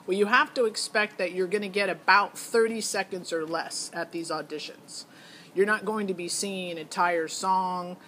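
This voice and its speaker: A woman's voice: fairly low pitch, moderate pace, pushed and bright. There is light background noise on this amateur recording. A middle-aged American woman talks off the cuff in a casual vlog style. She is opinionated and digressive, circling back to her point as she goes. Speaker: parent vlogger. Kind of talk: casual unscripted monologue to camera.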